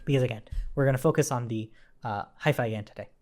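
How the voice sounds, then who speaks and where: A man's voice: mid-range voice, fast talker, a little mellow. A man giving an informal coding tutorial, thinking aloud as he explains, repeating phrases and checking in as he goes. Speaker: programming instructor. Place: indoors at a computer with keyboard typing.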